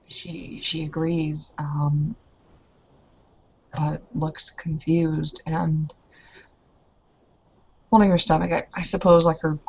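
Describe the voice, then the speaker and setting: A woman narrating her character in an improvised roleplaying game, speaking in a hesitant, halting way on a muffled recording. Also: low-pitched, level tone, a little hiss or hum. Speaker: tabletop roleplaying player.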